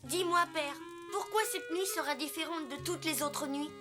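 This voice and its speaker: A woman voicing a curious, earnest young child in an animated film. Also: high voice, moderate pace, music in the background, natural voice.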